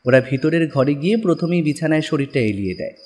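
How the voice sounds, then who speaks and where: A man's voice: on the high side, animated, fast, a little mellow. A man narrating a scripted horror story in a dramatic style.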